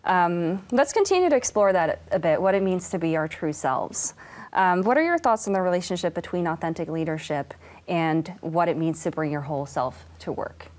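A woman in her forties moderating a studio panel and leading into questions with smooth, affirming transitions, on a lapel mic. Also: lowish voice, expressive, normal speed, a little mellow.

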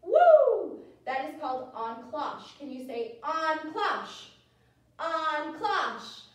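A very reverberant dance studio, picked up by a distant microphone, with music in the recording. An American woman with a high voice leads small children through ballet steps, calling cues in an encouraging, animated manner. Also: drawn-out words. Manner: animated, encouraging, sing-song cueing. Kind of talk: dance class instruction for toddlers. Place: indoor dance studio with wooden floor. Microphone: camera several metres away.

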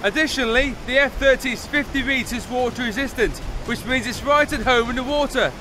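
A man reading a scripted product promo voice-over in an even, polished manner. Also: extremely high pitch, a little fast, background noise. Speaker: product video narrator. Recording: voice-over over music bed. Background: background music.

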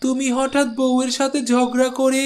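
A man's voice: very high voice, monotone, normal speed, a little mellow, a little hiss or hum. A man voicing a kindly, helpful village porter who reassures his friend in a cartoon.

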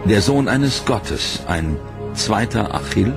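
A man narrating a history documentary in a measured, solemn scripted voice-over. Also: on the low side, lively intonation, vocal fry. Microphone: studio voice-over. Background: loud dramatic music.

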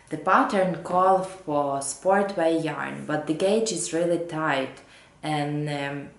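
A woman in her thirties with English as a second language, hosting a knitting podcast at home and sometimes halting to find her words. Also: low voice. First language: not English, not Danish. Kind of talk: casual chat to camera. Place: living room at home, indoors. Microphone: camera or laptop mic at desk distance. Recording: roomy home echo.

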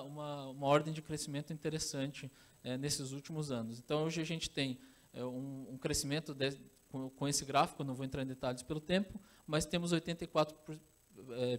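A man in his thirties from Brazil delivers a conference talk on stage in a large hall. His voice sits slightly high, and he hesitates often, trailing into fillers and restarting phrases. He speaks into a handheld microphone.

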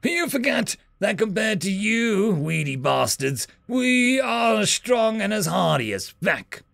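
A man voicing a wounded, defiant male human soldier in armor who rasps and growls his lines. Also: high voice, big pitch swings, takes it slowly, a bit bright, no room sound, voice pushed up, heavily acted. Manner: rasping, growling, dry defiance.

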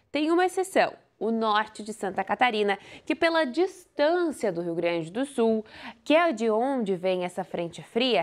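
A young woman from Brazil, presenting a TV weather forecast in a lively, playful way from a studio on a lapel mic. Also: on the high side. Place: TV studio in front of a video wall.

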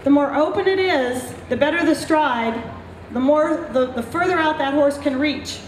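A woman's voice: high-pitched, normal speed, echoey room, a little hiss or hum, rough recording. A middle-aged woman veterinarian explaining points to an audience at an outdoor clinic, speaking into a handheld mic. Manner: explanatory, emphatic teaching with gestures. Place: outdoor paddock at a racetrack.

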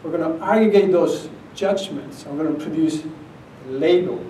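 A middle-aged Argentine-accented man speaks at a podium in a conference room, his voice set a little higher than most men's. He keeps it conversational and emphatic, checking in with the audience often. The sound is roomy and picked up from across the room. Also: moderate pace, dark tone, a little hiss or hum. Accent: Argentine Spanish. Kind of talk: academic conference talk. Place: conference room with projector screen. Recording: echoey conference room, captured from a distance.

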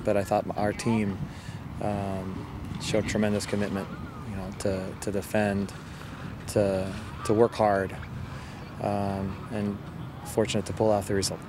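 A middle-aged man speaks as a coach in a post-match interview. His voice is low and flat, and he feels his way through sentences in a measured, halting manner. Clear outdoor noise sits behind him. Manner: halting, measured delivery with repeated words. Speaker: soccer head coach being interviewed. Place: outdoors on a soccer field. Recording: outdoor field recording with loud ambient noise.

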